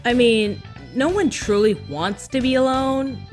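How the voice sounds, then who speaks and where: A woman's voice: fairly high pitch, expressive, a little slow, faint music behind. A woman co-hosting a fan recap, talking casually and conversationally, with a giggly manner, as she comments on an episode.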